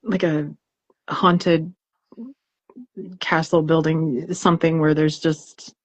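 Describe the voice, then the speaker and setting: A woman's voice: low-pitched, level tone, takes it slowly, no room sound. A woman co-hosting a poetry reading, talking casually and expressively as she searches for her words.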